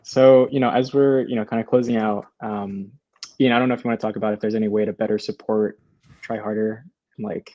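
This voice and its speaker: A man's voice: on the low side, steady tone, a little fast, dark tone, dry recording. A young man casually hosting an online panel over a video call with dull audio. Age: young adult. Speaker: host, festival moderator. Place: room at home, video call.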